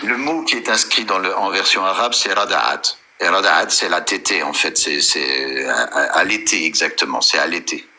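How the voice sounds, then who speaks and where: A man's voice: low voice, moderate pace, few pauses, sharp tone. A man on an online call debate, explaining patiently step by step. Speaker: debate participant.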